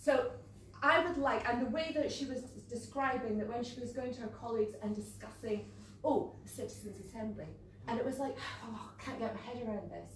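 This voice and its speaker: Scottish woman in the audience, halting question, very echoey and distant. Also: on the high side. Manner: hesitant, searching for words. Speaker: audience member. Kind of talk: question from the floor. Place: meeting room at a conference fringe event. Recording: very echoey room, distant mic, faint noise.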